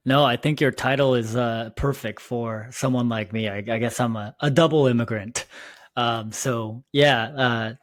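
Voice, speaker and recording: An upbeat young man from South Korea, raised in Canada, talking as a founder in a relaxed interview. He speaks at a middle pitch, often restarting and filling with ums. His voice is recorded close and dry, with no room sound. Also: unhurried, no room sound. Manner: frequent fillers and self-restarts, upbeat. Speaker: startup founder, podcast guest. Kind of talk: casual interview talk.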